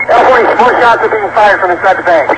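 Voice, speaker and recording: A man's voice: sits high, fast. A man working as a police officer in the field, giving clipped, urgent reports over police radio. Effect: police radio transmission. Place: outdoors at an incident scene. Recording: very noisy police radio with vehicle sounds.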